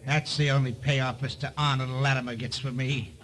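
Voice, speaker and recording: A man's voice: medium pitch, fairly even intonation, moderate pace, a bit bright, natural voice. An actor playing a cold gunman in a 1950s radio crime drama, talking slangy and menacing with mock apology. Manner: menacing, slangy tough talk. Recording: noisy vintage radio recording.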